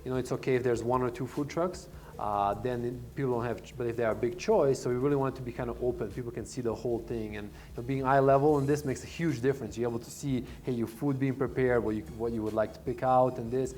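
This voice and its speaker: Fast-talking man from Serbia. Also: mid-range voice, fairly even intonation, a little mellow. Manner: rambling, many fillers and restarts. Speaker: restaurant owner. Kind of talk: casual interview answers.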